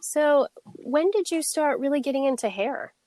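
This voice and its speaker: A woman's voice: sits high, expressive, moderate pace, dark tone, no room sound. Podcast host woman, dry close sound. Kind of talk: podcast interview.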